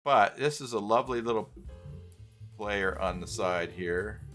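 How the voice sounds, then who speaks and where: A man hosting an unscripted live software tutorial, talking haltingly as he thinks aloud while working. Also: fairly low pitch, faint music behind. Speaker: host of live tutorial stream.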